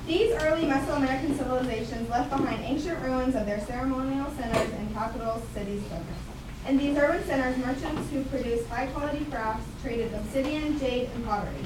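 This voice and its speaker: A woman's voice: sits high, brisk, natural voice. A woman playing an instructive schoolteacher in a scripted puppet show. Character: schoolteacher lecturing on ancient civilizations, brisk and instructive. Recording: roomy echo, distant mic, clear noise.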